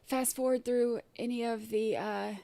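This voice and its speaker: A woman hosts a casual livestream, her voice gravelly and scratchy from an irritated throat. She sits a bit high in pitch and keeps clearing her throat. She rambles with fillers and restarts, and the sound is dry and close. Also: steady tone, measured pace, warm tone, no room sound. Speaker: livestream host.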